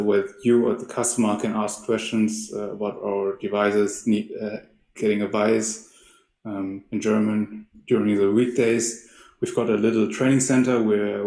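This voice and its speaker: A man presenting in a webinar as a company representative, speaking in a halting, hesitant way. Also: lowish voice, vocal fry, darkish tone. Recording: roomy, echoey sound.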